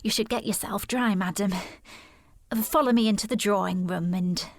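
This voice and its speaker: An actress playing a polite, hesitant housekeeper of a great house in a period audio drama. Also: female voice, mid-range voice, normal speed, no echo, lightly acted. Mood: uneasy, courteous. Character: formal, deferential housekeeper of a moorland house.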